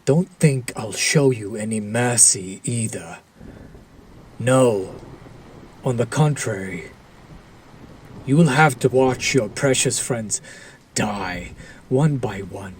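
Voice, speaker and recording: A man's voice: medium pitch, takes it slowly, long pauses, darkish tone, slight background noise, close to an everyday voice. A man playing a sadistic, possessive, centuries-old vampire lord in an intimate audio roleplay, taunting the listener with silky, formal, theatrical menace. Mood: menacing.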